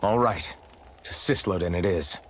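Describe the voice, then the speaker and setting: A man's voice: low voice, moderate pace, fairly bright tone, slight background noise. A man playing a young, determined swordsman with a dry streak in a video game cutscene. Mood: resolute.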